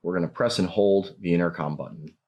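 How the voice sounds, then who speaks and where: A man's voice: deep voice, a little fast, darkish tone, highs cut off. A man narrating a scripted product tutorial, explaining each step plainly as a demonstrator.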